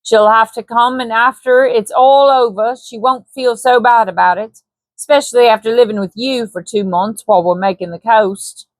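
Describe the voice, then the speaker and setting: A scheming Cockney hunter and trader, voiced by a woman reading aloud, coaxing and ungrammatical in his talk. He sounds bold and a touch high. The voice sits close and dry, with no room around it. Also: fairly even intonation, normal speed, dry recording, close to an everyday voice. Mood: wheedling. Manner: sly, persuasive, ungrammatical.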